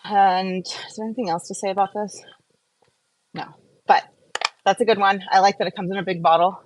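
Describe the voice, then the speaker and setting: A woman's voice: medium pitch, steady tone, a little fast, background noise. Chatty, rambling woman vlogger. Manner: rambling, self-interrupting, easily distracted. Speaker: skincare and wellness vlogger. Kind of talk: casual chatty live Q&A and product review.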